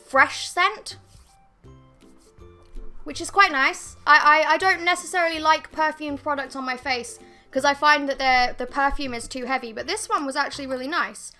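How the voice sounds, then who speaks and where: Casual beauty vlogger, twenties, high bright voice with a slight croak, rambling and self-deprecating. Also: female voice. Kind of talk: casual makeup review to camera. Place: bedroom with bookshelves, indoors. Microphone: fixed camera at desk.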